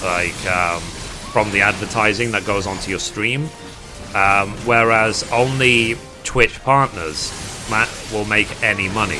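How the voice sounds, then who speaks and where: A man with a British accent chatting casually and at rambling length with his live stream viewers as a gaming streamer. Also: on the low side. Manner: rambling, reading chat aloud and replying. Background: music.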